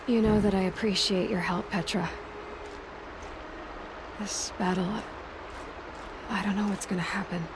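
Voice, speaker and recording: A woman's voice: medium pitch, steady tone, unhurried, long pauses, background noise, lightly acted. A woman acting a resolute young huntress heroine in a video game, dry and direct. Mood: wry, sincere.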